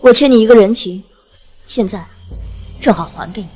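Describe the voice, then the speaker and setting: A woman playing a bold, generous fox-clan demon lord in an audio drama, confident and brisk. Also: medium pitch, husky, lightly acted. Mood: steady. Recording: soft music behind.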